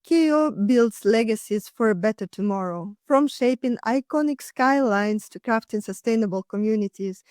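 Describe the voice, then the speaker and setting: A woman's voice: a little high, normal speed, few pauses, darkish tone, no room sound, studio quality. A woman hosting a podcast, reading a polished, upbeat introduction and asking interview questions. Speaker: podcast host. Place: studio.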